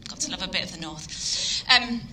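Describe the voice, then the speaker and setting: A woman with a Yorkshire accent preaches an evening sermon in an earnest, halting way, with plenty of ums. Her voice is very bright and sharp. A faint hiss of noise sits behind her. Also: medium pitch, lively intonation, poor audio. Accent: Yorkshire English. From Yorkshire, England. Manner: earnest, hesitant with frequent ums. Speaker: guest speaker.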